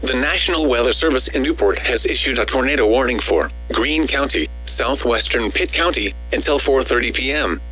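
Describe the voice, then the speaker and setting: A man's synthetic-sounding voice reading scripted emergency weather bulletins as a radio announcer, in a measured, formal, automated delivery. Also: medium pitch, pushed and bright, faint music behind, poor audio.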